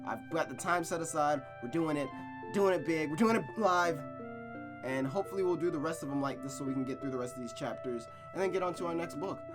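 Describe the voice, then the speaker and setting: A man's voice: a little high, fast talker, over music. Chatty young man hosting a story stream. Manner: energetic, chatty, swears casually. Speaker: host reading a visual novel. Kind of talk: casual gaming chat and story narration.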